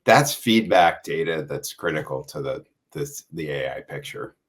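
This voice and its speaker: A man's voice: extremely low pitch, animated, normal speed. A man speaking casually as a company executive in a business interview.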